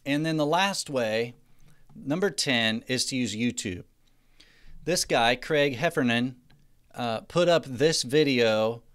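Clear-voiced man narrating a tutorial. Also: medium pitch, slow, a bit bright. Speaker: presenter of a marketing tutorial. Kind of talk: explanatory screencast narration. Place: indoors, voice-over to screen recording.

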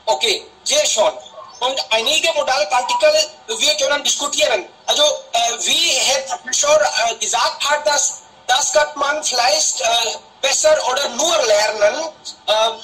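Group host, high bright voice, halting delivery, a bit far from the mic. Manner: halting, many fillers. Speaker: discussion host. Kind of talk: online discussion group conversation. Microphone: video call.

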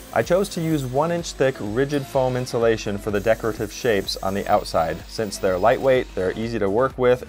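A man's voice: medium pitch, a little mellow. A man in his thirties, host of a DIY channel, narrating his build in an upbeat, self-deprecating way. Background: faint background music.

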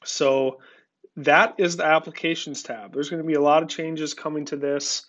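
A man giving a relaxed, conversational screen-recorded walkthrough of farm software, with frequent filler words. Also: a little high, moderate pace. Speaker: software company representative. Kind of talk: screen-recorded product demo.

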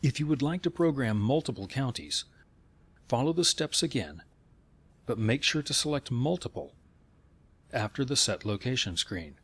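Husky male instructional narrator, lively intonation. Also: mid-range voice, moderate pace, pauses often.

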